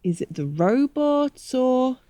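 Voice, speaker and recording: Mellow-voiced, officious care manager, played by a woman. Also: high-pitched, very animated, slow, dry recording, heavily acted. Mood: defensive. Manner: businesslike, defensive. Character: defensive, officious retirement community manager. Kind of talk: scripted audio drama.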